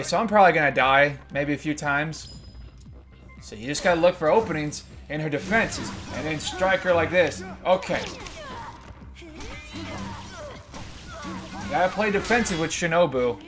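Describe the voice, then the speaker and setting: A man's voice: on the high side, fairly bright tone. Joking young man commenting gameplay. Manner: casual, joking, swears freely. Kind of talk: let's play commentary. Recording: voice over game music.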